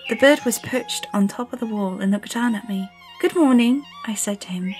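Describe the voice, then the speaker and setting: A woman's voice: a little high, moderate pace, a little mellow, faint music behind, natural voice. An actress playing a sour, curious young girl who narrates her own story, earnest and full of questions. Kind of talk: audio drama narration and dialogue.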